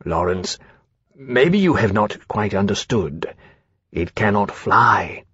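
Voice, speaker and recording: An earnest, sensitive storybook dragon voiced by a man speaks in a lowered voice. He is plaintive and confiding, hesitating as if easily hurt. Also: animated, moderate pace, slightly rough, no echo, lo-fi sound. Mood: hesitant, confiding.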